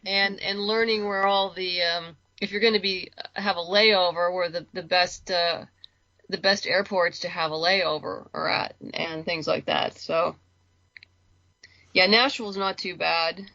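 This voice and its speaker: Bright-voiced woman, dull dry recording. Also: on the low side, fairly even intonation, a little slow, no echo. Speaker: guest, comics and audio drama creator. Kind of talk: casual podcast chat.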